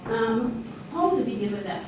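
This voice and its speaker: A woman's voice: on the high side, animated, moderate pace. A woman from Guyana standing up in the audience to ask a question, speaking hesitantly with some fillers. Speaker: audience member asking a question. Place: university lecture room. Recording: echoey room, far from mic, audible hiss.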